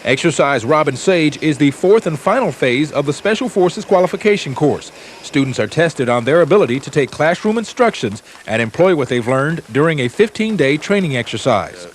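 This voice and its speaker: Male reporter, animated higher voice, scripted narration over noticeable vehicle noise. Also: normal speed. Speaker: news reporter.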